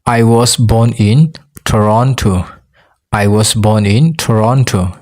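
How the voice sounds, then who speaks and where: Slow, low-voiced man with a Mizo accent. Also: darkish tone. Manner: says each phrase twice, slowly and deliberately. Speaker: English teacher. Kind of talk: English lesson for learners.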